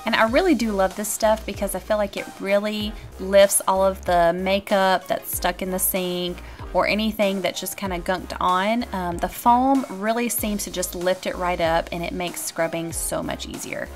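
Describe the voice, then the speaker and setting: An upbeat woman in her thirties narrates her cleaning vlog in a casual, friendly voice-over. She talks directly to her viewers at a middle pitch. Soft music plays faintly underneath. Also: steady tone, moderate pace. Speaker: cleaning vlogger.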